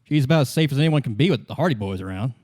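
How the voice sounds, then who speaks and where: A man's voice: mid-range voice, lively intonation, racing, darkish tone, no echo, clean studio sound, close to an everyday voice. A man playing a cocky, dismissive gang leader in a tabletop roleplay, curt and challenging. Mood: smug, challenging.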